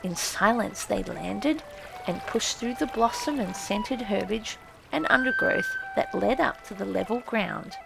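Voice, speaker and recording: Female storyteller for classic fiction: expressive, solemn, unhurried, lively melodic delivery over a prominent music bed. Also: mid-range voice, gravelly, low-quality recording. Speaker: audiobook narrator. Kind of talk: dramatic literary story narration. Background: music and effects.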